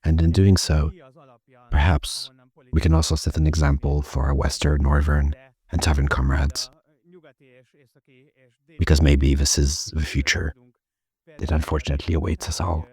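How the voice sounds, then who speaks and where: Very deep husky Hungarian man. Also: little pitch movement, moderate pace, long pauses, darkish tone. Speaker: panel speaker. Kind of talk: formal conference talk. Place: conference hall.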